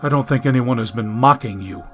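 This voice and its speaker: A man reading an audiobook, voicing a calm modern man who steadily reassures a frightened friend. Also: medium pitch, fairly quick, faint music behind, voice pushed down, close to an everyday voice. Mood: reassuring. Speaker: audiobook narrator.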